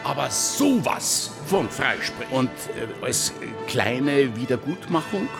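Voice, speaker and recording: A theatrical male judge, pompous and condescending, with a swooping, animated delivery. His voice rings in long hall reverb over music in a radio play. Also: mid-range voice, slow, gravelly, exaggerated voice. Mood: grandly apologetic. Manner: pompous, grandiose.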